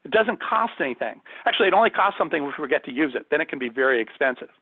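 A man's voice: a little high, big pitch swings, rapid-fire, dry recording. A man working as a corporate trainer, leading an online workshop in a conversational, emphatic, instructive way. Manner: emphatic, instructive, addresses listeners with questions. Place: indoors, on a video call. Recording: thin phone-like audio, dry.

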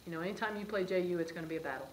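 A middle-aged woman coach talks fast in a low voice, brisk and matter-of-fact, filling gaps with "um" and "you know". She sits at a press table in an echoey room with a faint hiss underneath. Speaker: head basketball coach. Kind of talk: post-game press conference. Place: press room before a sponsor backdrop, seated at a table.

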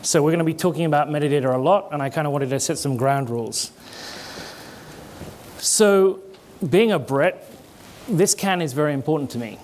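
A man's voice: on the high side, darkish tone, a little hiss or hum. A middle-aged British man with an American-tinged British accent, giving a conversational conference presentation on stage. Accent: British with American twang. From Britain. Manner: conversational, gestures while explaining, restarts words. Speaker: conference speaker. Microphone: headset mic.

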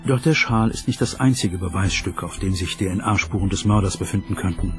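A man's voice: deep voice, a little fast. A man voicing a male investigator presenting evidence in a scripted crime documentary voice-over. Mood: matter-of-fact. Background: background music.

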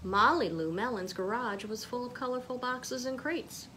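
A woman in her thirties, a children's librarian, reading a picture book aloud expressively with clear phrasing in a small room. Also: fairly high pitch, normal speed, bright, forceful tone, slight background noise. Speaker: children's librarian, storytime reader.

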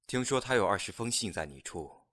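An actor playing a solemn, sorrowful man in a fantasy audio drama, speaking in a grave, measured way. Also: fairly low pitch, animated, fairly bright tone. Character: solemn, sorrowful man bearing tragic news.

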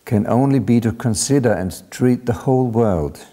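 An older man giving a deliberate, solemn formal speech as an academic at a lectern. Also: mid-range voice, dark tone. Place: lecture hall at a lectern.

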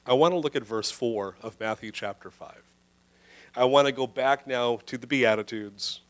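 A man in his thirties preaching a sermon into a handheld microphone indoors, earnest and emphatic, slowing down to stress key phrases. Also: mid-range voice. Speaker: pastor. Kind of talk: livestreamed sermon. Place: indoor church room.

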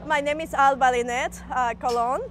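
A woman's voice: sits high, fairly even intonation, moderate pace, a bit bright, a little hiss or hum. A woman, a program manager explaining her work in an interview. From Latin America. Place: outdoors at a racetrack. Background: music.

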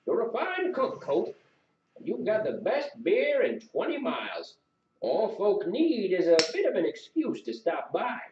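A man's voice: high voice, wildly swooping pitch, a little slow, dull audio, pitched above the performer's own voice, heavily acted. A man voicing a sociable, outspoken old village regular with rustic, colloquial talk in a story. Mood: friendly, nudging. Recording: roomy, distant mic, noisy with clicks.

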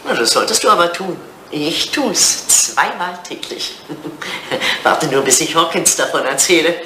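An actress playing an elderly English widow in a retro German radio play, teasing, forthright and sentimental, with a playful, pointed manner. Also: female voice, deep voice, expressive, unhurried. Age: older. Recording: roomy, slightly noisy old radio recording.

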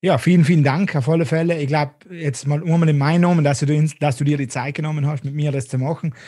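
A man in his thirties with a South Tyrolean German accent, hosting an interview over a video call from a small dim room, talking haltingly with many restarts. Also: on the high side, fairly even intonation, dark tone, no echo, studio quality. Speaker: host. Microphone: video call webcam.

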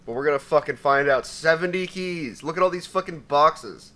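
Young man, raspy and excitable, bright high-ish voice, profane gaming commentary over keyboard clicks. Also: moderate pace. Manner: excitable, profane, swings between mumbling and shouting. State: raspy from sneezing. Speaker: gaming YouTuber. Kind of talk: casual commentary while unboxing.

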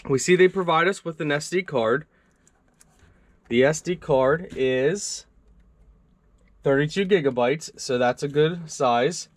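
A young man giving a casual product review at his desk, walking through the features conversationally with a few fillers. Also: fairly high pitch. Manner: relaxed, conversational walkthrough with fillers. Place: indoor desk workspace.

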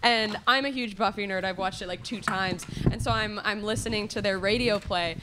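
A woman's voice: mid-range voice, moderate pace, noisy background. A young woman co-hosting a student radio show, chatting casually. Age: young adult. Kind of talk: casual unscripted discussion. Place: small classroom studio. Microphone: stand microphone.

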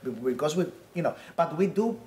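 A man panelist talking quickly and animatedly, repeating words, at a table microphone in a large lecture hall. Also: a little high, expressive, darkish tone. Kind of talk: panel discussion. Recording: echoey lecture hall, faint noise.

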